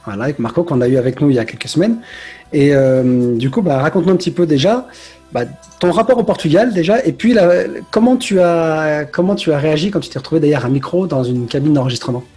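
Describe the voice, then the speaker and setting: Radio host guy, talks super fast, dark husky voice, soft music behind. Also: a little high. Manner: chatty, rambling questions. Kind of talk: radio interview questions.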